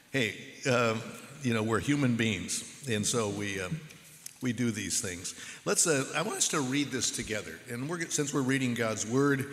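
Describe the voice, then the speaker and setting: A man preaching a sermon as pastor in a church, with a measured, earnest delivery. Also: medium pitch, a bit bright, slight background noise. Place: church sanctuary. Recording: echoey hall with long reverb.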